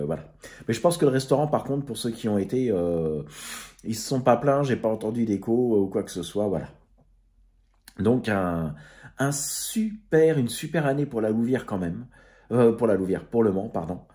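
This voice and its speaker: Guy w a low soft dark voice, just chatting to his followers, kinda searching for words, slangy. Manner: hesitant, groping for words. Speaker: amateur radio video host. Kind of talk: casual unscripted recap talk to followers.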